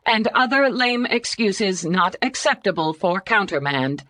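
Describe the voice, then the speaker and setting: A woman voicing an office computer that gives flat, mechanical replies. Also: medium pitch, measured pace, no room sound, studio quality, pitched above the performer's own voice. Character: stiff, clipped office computer.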